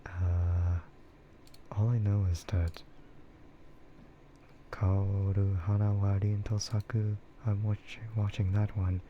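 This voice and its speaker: Low, slow, whispery ASMR man. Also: flat delivery. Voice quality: soft, breathy, often drops into whisper. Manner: hesitant, unhurried, trailing off. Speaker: streamer, VTuber. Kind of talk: ASMR, rambling casual stream chat. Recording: muffled, lo-fi, clearly noisy.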